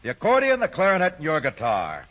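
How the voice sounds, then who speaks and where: A dull-sounding old radio recording of an upright young man. His voice is bright and fairly high, swinging widely in pitch with earnest, dramatic force. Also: wildly swooping pitch, fast. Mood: breezy. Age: young adult. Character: upright, indignant, responsible grown son and fiancé. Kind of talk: 1940s radio acting.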